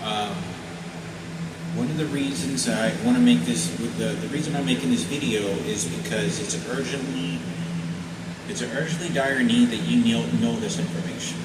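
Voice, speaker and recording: Man in his forties, halting personal vlog, loud air noise, echoey room, mic set back. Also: medium pitch, expressive, distant mic. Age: 40s. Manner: halting, repetitive, with frequent fillers and restarts. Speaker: vlogger. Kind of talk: rambling personal video message.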